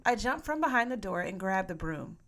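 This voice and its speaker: A woman reading a children's novel aloud as an expressive audiobook narrator. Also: medium pitch, a little fast, creaky. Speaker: audiobook reader.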